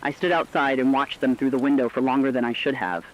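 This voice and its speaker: A man's voice: medium pitch, fairly even intonation, quick pace, lightly acted. A man playing a solemn radio presenter, reading scripted dispatches in an earnest, reflective storytelling manner. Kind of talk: scripted dramatic radio narration. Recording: dull band-limited radio sound.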